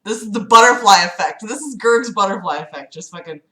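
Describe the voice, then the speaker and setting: A woman's voice: mid-range voice, expressive, brisk, pushed and bright, reverberant. A woman hosting a livestream, reading a book aloud mockingly with sarcastic, exasperated asides and casual swearing. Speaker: livestream host. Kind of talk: mocking read-aloud of a book with casual commentary to live chat.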